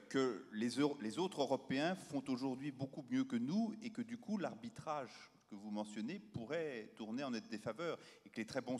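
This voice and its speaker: A man's voice: medium pitch, a little mellow. A man moderating a round table, posing long questions with many fillers and restarts. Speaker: panel moderator. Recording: reverberant conference hall.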